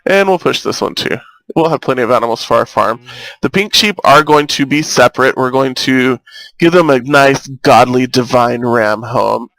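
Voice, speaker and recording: A man's voice: mid-range voice, moderate pace, no room sound, poor audio. Chatty young man on an earbud mic. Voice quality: slight lisp. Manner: chatty, rambling, easily excited. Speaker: gaming YouTuber. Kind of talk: casual let's-play commentary. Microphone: cheap earbud microphone. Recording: thin, robotic-sounding earbud mic.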